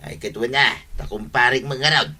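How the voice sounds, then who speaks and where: Piercingly bright, boisterous neighbor. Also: male voice, medium pitch, fairly even intonation, moderate pace, breathy edge. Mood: brisk. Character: helpful, boisterous male neighbor and buddy of the captain. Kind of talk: Ilocano comedy radio drama acting.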